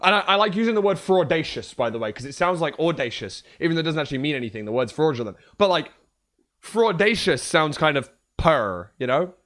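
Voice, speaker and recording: Hoarse young man streamer. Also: fairly high pitch, big pitch swings, brisk, fairly bright tone. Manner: joking, trash-talking, swears a lot. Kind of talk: casual livestream chat.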